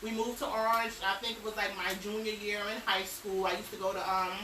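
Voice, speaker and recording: A woman in her forties, raised in New Jersey after an early childhood in Guyana, chatting excitedly as an interview guest. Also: medium pitch, fairly quick, reverberant, recorded from a distance, background noise. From born in Guyana, raised in New Jersey. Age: 40s. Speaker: guest, barber and business partner. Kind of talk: casual interview. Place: indoor basement room.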